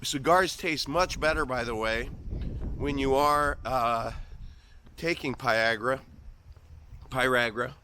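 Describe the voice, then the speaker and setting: Midwestern man in his fifties, bright voice. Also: mid-range voice, slow pace, pauses often, a little hiss or hum. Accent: Midwestern American. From American Midwest. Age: 50s. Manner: joking, mock-earnest, often trails off and restarts. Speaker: vlogger. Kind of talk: rambling comedic vlog monologue. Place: outdoors on a walk.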